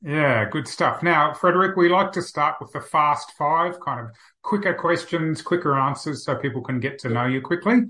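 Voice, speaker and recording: A man hosts an interview podcast with a bright, clear voice. He keeps things upbeat and moving with brisk introductions and quick questions. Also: fairly high pitch. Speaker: podcast host. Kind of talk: interview hosting.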